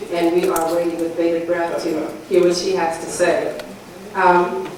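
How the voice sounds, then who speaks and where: A woman introduces the evening's speaker to a gathered audience, in a steady, fairly flat delivery with fillers between names. She is picked up from a distance in a very echoey room with a hiss underneath. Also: low-pitched. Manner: measured, with fillers while reading names. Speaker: library program coordinator introducing a speaker. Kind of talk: formal event introduction. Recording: roomy, distant, hissy room recording.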